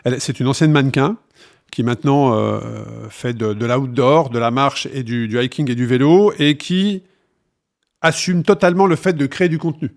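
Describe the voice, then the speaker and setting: A man's voice: medium pitch, fairly even intonation, moderate pace. A man with a French accent, speaking as a podcast host in a casual conversational interview with a guest.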